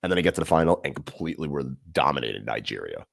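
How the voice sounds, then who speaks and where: A man's voice: very deep voice, very fast, creaky, no room sound. A man as podcast guest talking through sports in casual chat, rambling and correcting himself as he goes. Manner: animated, rambling analysis with self-corrections.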